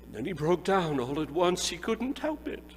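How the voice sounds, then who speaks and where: A man narrating a dramatic reading of a classic story in an expressive, measured way. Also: high-pitched, faint music behind. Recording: hall reverb, faint mains hum.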